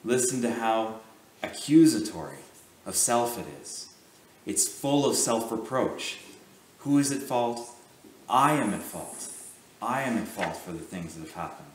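A man giving a sermon as a priest, speaking in a measured, earnest way with deliberate pauses. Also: on the low side, animated, reverberant, recorded from a distance, poor audio.

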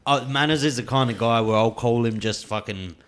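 A man's voice: medium pitch, brisk. A man with an Australian accent hosting a casual podcast, bantering bluntly and swearing freely. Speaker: podcast host.

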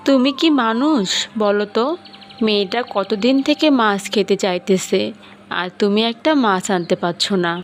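A woman's voice: medium pitch, moderate pace, slight background noise, natural voice. A woman voicing a village wife and mother in a cartoon, caring but ready to scold. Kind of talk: cartoon dub.

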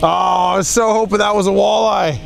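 A man's voice: sits high, steady tone, fairly quick, vocal fry, soft background music. A man in his thirties hosting a fishing vlog with casual, playful, exclamatory commentary, recorded outdoors on a small boat.

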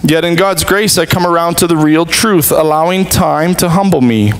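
A man in his thirties, a pastor, preaching an earnest sermon with measured, deliberate pauses from a church stage. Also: on the high side, a little hiss or hum, rough recording.

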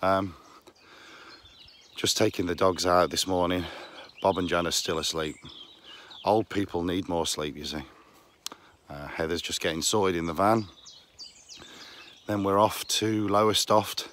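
A middle-aged man from England with a croaky voice, joking casually as he films his vlog outdoors. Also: low-pitched, steady tone, unhurried, pauses often, a bit bright, a little hiss or hum. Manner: jokey, teasing banter. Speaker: vlogger. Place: outdoors by a lake and countryside path. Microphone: phone camera held close.

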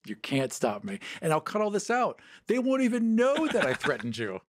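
A man's voice: high-pitched, wildly swooping pitch, normal speed, a little hiss or hum. A man chatting casually as a podcast guest, with playful, theatrical emphasis.

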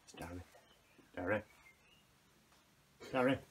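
A man with a lowish voice talks slowly and hesitantly, filling gaps with ums. He is recorded indoors on a handheld camera with faint noise behind. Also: vocal fry. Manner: slow, hesitant, with fillers. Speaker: fellow explorer. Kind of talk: casual chat.

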